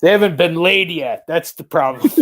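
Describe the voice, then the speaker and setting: A man's voice: a little high, lively intonation, moderate pace, crowd noise. A man hosting a casual live interview, talking in an informal, slangy way with lots of "like" and "you know.". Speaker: host of a live chat show. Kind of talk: casual video chat interview.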